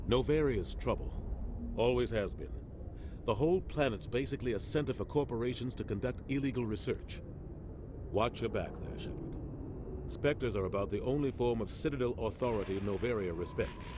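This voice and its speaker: An actor playing a bitter veteran soldier captain, speaking in a measured, grim tone. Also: male voice, mid-range voice, animated, lightly acted. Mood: tense. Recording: game cutscene with ambient noise.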